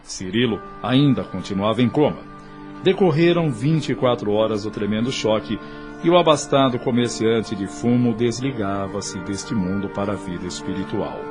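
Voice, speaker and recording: A male narrator tells an audio drama in formal, declamatory style. His voice is on the low side and solemn, with a Brazilian accent. Music plays clearly behind him. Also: animated, moderate pace. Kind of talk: dramatic scripted narration for audio soap opera. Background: prominent music.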